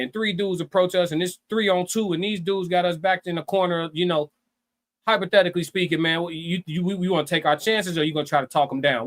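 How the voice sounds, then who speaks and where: A man with a fairly high-pitched voice chats casually as a live-stream co-host. He is animated and opinionated, leaning on slang and repeating his phrases to drive them home.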